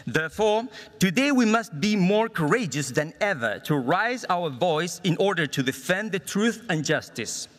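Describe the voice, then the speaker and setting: A man from Chile with a Chilean Spanish accent gives a formal, prepared political speech in English as a conference speaker, earnest and deliberate, stumbling slightly on some words. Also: high-pitched, big pitch swings, a bit bright. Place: conference hall in Budapest. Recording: reverberant large hall sound.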